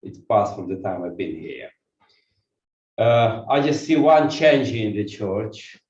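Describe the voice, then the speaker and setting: A man's voice: fairly low pitch, expressive, takes it slowly, darkish tone. A man from Ukraine with a Ukrainian accent, preaching a sermon and putting questions to the congregation. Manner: walks while preaching, asks the congregation questions. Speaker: guest pastor. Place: church hall. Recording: echoey church from far off, dull sound.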